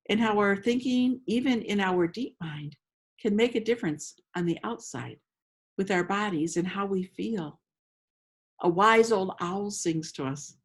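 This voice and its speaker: Slow, unhurried woman guiding a meditation. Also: mid-range voice, husky. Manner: slow, unhurried, inviting, with long pauses. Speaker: guided meditation host. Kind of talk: guided meditation, gentle storytelling. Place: outdoors among roses.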